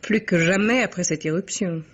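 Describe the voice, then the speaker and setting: An actress playing a haughty, sharp-tongued, romantic kept mistress in theatrical, ironic 1950s French radio drama style. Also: female voice, on the low side, normal speed, natural voice. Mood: scornful. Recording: dull vintage radio recording.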